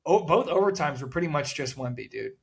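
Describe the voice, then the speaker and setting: A man co-hosting a casual sports talk show, rambling and repeating words, with a loud voice that cuts through noise. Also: on the high side, lively intonation, brisk, creaky, breathy edge. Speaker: podcast co-host.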